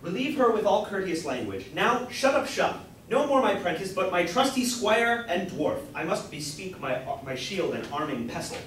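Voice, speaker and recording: A man's voice: big pitch swings, normal speed, hardly any pauses, a bit bright. Young man playing a bombastic would-be knight, echoey and distant. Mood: commanding. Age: young adult. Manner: declamatory, high-flown. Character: grocer's apprentice playing grandiose knight-errant, bombastic and courtly. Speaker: student actor. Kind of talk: staged reading of Jacobean comedy. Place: indoor room, far from the mic. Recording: distant, very echoey room with faint noise.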